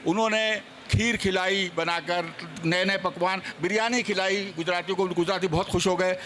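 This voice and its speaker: A man with a notably high voice speaks on a seminar panel. He lays out history emphatically, counting off his points and pressing them home. A little noise sits behind him at the live event. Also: steady tone, normal speed, fairly bright tone. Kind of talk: animated explanatory panel talk on theatre history.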